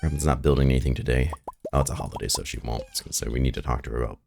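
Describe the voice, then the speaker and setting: A hoarse man with a raspy, rough voice, rambling and thinking aloud as he plays through a farming game in casual commentary. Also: extremely low pitch, steady tone, very fast, background noise. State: hoarse from shouting the night before. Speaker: gaming video host. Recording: game music behind the voice. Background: game music.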